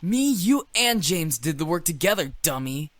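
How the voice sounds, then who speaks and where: A woman voicing a boyish, playful young male incubus in a visual novel game, teasing and quick to bristle. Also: lowish voice, big pitch swings, no room sound. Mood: sarcastic.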